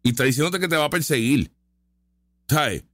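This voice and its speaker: A man rants sarcastically as he comments live on clips. His pitch sits fairly high and jumps around a lot. The sound is dry and close, with no room around it. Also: animated, normal speed, a bit bright, dry recording. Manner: sarcastic, heated and argumentative. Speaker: online commentary host.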